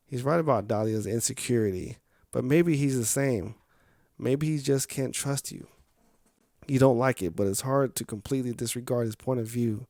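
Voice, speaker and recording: Mid-pitched man narrating a visual novel. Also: dark tone, dry recording, clean studio sound. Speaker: streamer reading a visual novel aloud. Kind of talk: scripted game narration. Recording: music faintly behind the voice. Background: music.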